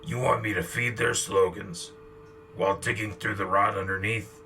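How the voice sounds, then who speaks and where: A man's voice: fairly low pitch, fairly even intonation, moderate pace, pushed and bright, echoey room, far from the mic, soft background music. A man playing a disillusioned, bitter soldier and reluctant propaganda hero in a sci-fi audio drama. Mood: bitter, weary.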